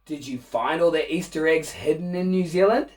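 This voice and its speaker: A man reading a rhyming children's picture book aloud in an expressive, animated way, with asides to young listeners. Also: on the high side, moderate pace, far from the mic. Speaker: children's storybook reader and host.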